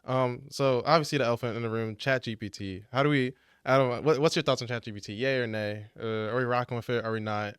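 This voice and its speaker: A man's voice: medium pitch, fairly even intonation, fast, few pauses, a bit bright, smooth, no room sound, studio quality. A young man hosting a casual podcast interview, laid-back, with lots of "yeah" and "uh" fillers. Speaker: podcast host and editor.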